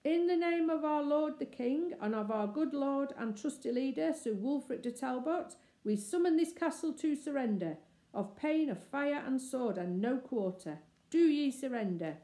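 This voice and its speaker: A woman's voice: sits high, expressive, moderate pace, mellow, voice pushed up. A woman voicing a formal, threatening male herald of a medieval army, proclaiming ringingly in a children's storybook. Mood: commanding. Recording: echoey room.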